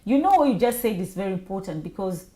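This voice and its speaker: A woman hosting a casual panel talk, telling stories with lively acted-out voices. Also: medium pitch, fast. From Kenya. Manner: animated storytelling, acts out voices. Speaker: talk show host.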